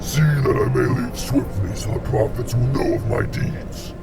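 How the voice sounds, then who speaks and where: A man voicing a proud, fanatical alien warrior in a sci-fi audio drama, with a gravelly voice and grand, formal, pompous delivery. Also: medium pitch, normal speed, lightly acted. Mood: solemn, proud. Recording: distant voice under loud music.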